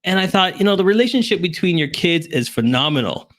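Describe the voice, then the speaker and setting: A man hosting a talk show in an upbeat, casual, animated conversational way. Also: high voice, fast. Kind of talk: upbeat casual interview chat.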